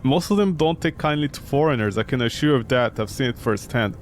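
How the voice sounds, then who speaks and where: A man voicing a calm, long-winded old immigrant woman, a former policewoman, in a game. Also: mid-range voice, quick pace, a little hiss or hum, lightly acted. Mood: nostalgic. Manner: recounting her life at length.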